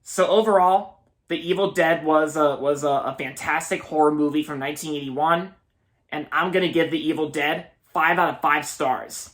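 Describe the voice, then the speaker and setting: A man's voice: on the high side, fairly even intonation, moderate pace, fairly bright tone. A man in his twenties giving a casual, enthusiastic movie review, often repeating words and restarting his sentences. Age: 20s. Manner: enthusiastic, gushing.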